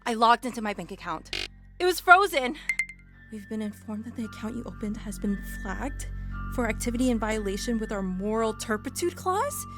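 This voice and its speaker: A woman's voice: on the high side, big pitch swings, moderate pace, over music. An actress playing an earnest, easily indignant young woman entrepreneur in a naturalistic comedy-drama podcast. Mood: frustrated disbelief.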